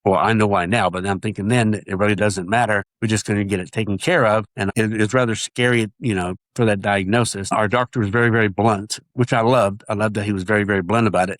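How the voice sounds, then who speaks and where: A man's voice: on the low side, no echo. A man, earnestly sharing his testimony in an interview and often restarting his sentences. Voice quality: nasal voice. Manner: earnest, halting with repeated restarts. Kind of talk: casual interview talk.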